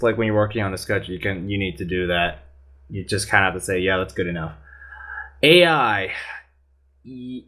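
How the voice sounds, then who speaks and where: Male panelist, bright timbre, earnest rambling delivery. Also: fairly low pitch. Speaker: podcast panelist. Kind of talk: casual opinionated chat.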